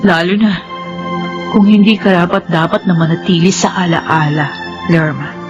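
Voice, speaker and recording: An actress playing a reflective, resolute young woman in a radio drama, earnest and measured. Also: fairly low pitch, steady tone, natural voice. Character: Connie, reflective, resolute young woman narrating her life. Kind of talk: Filipino radio drama narration and dialogue. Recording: dull sound under loud music.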